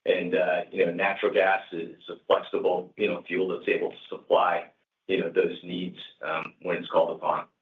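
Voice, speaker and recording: A man speaking as a company executive, answering questions on an earnings call in a halting way peppered with "you know". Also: low voice, little pitch movement. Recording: echoey, distant, phone-like conference call.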